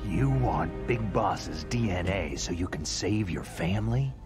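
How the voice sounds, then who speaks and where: An actor playing a gruff, hardened soldier hero in a video game cutscene, terse and skeptical with a gravelly voice. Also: male voice, low-pitched, animated, a little slow, music in the background. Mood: questioning, sardonic.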